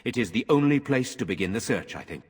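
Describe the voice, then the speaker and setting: A man playing a solemn, dutiful nobleman in a fantasy video game, speaking in a formal, measured way. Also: mid-range voice, animated, slightly rough. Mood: resolute. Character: solemn, dutiful nobleman brother ruling a troubled town. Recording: hall reverb, music behind.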